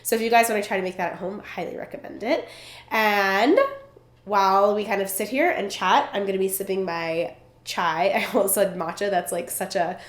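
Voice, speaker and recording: A woman's voice: mid-range voice, fairly even intonation, moderate pace. A young woman vlogger talks casually to the camera, her nose stuffed up and her voice a little froggy from a cold. She sounds chatty and bubbly and strings thoughts together with lots of fillers. The room around her has a noticeable echo. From Vermont. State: stuffed up, congested. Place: indoors at a relative's home. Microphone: camera in front while seated. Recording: roomy echo.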